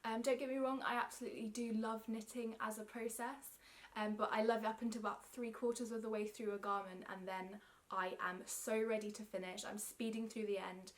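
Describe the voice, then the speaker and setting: A woman's voice: a little high, flat delivery, a little fast. A young woman with a London English accent, chatting casually to camera as a knitting vlogger, a little self-conscious with frequent ums. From England. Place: indoor room with yarn shelves.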